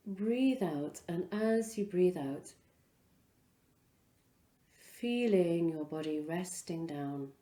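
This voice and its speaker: A woman leading a guided relaxation as a yoga instructor, speaking in an unhurried, soothing way with pauses between phrases. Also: on the low side, mellow, reverberant.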